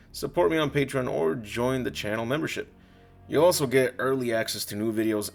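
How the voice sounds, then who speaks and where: Male creator-narrator, low pitch, scripted story delivery and channel talk, prominent music bed underneath. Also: vocal fry. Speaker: comic creator narrating own video.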